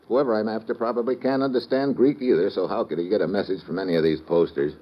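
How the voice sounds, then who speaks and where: An actor playing a wry, dogged American investigator in an old-time radio drama, with slangy, hard-boiled delivery. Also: male voice, fairly low pitch, steady tone, fast talker, lightly acted. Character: wry, dogged male American investigator narrating his case. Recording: muffled, hissy old radio recording.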